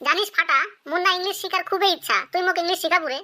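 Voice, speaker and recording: A woman's voice: very high voice, very fast, bright, forceful tone, exaggerated voice. A woman voicing a young female cartoon character in a comic, exaggerated, sing-song way. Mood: curious, coy. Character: young female: doting mother or shy village schoolgirl.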